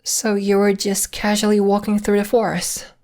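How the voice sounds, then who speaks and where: A woman playing a secretive, polite and protective young werewolf in an ASMR roleplay, speaking in a measured, hesitant, matter-of-fact way. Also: medium pitch, monotone, a little mellow, lightly acted. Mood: calm, questioning.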